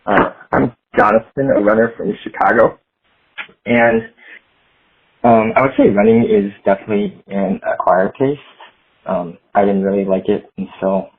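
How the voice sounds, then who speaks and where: A man leaving a casual voicemail as a caller, explaining himself over a narrow phone line. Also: on the low side.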